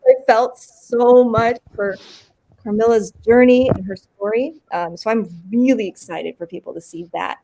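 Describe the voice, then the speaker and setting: A woman actor chatting in an interview, bubbly, with frequent laughs and quick bursts of talk. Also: a little high, warm tone, dull audio, slight background noise. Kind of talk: casual interview chat.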